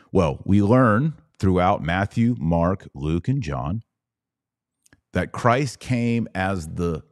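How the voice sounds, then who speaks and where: Slow, emphatic man with very animated pitch. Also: no echo, clean studio sound. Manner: emphatic, deliberate, with dramatic pauses and repetition. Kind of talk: sermon-like explanatory monologue.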